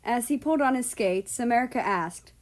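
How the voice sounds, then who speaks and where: A woman's voice: a little high, animated, brisk, darkish tone. A woman narrating a scripted story in a storybook style. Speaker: fan fiction narrator.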